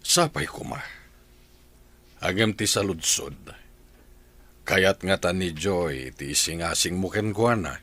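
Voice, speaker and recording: A man's voice: low voice, slow pace, pauses often, a bit bright, full character voice. A man acting an earnest village character in an Ilocano radio comedy drama. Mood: curious, hopeful.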